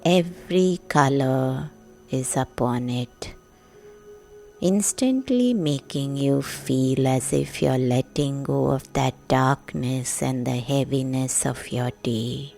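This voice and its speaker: Very slow, deep-voiced woman guiding meditation. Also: vocal fry, soft background music. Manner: soothing, hushed, gentle, long pauses. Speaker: meditation guide, podcast host. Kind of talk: guided sleep meditation narration.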